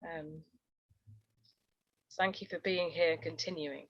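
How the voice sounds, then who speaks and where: A woman's voice: low voice, fairly even intonation, slow, husky, no echo. A woman chairing an online panel discussion, talking in a supportive, conversational way. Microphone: video call. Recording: dull, dry video call audio.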